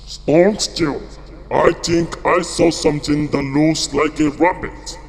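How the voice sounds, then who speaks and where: Storybook lion, male actor: hungry, menacing, sly, very slow growling delivery, cavernous reverb. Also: on the high side, steady tone, creaky. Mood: hungry, scheming. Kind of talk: storybook character acting. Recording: long hall-like reverb.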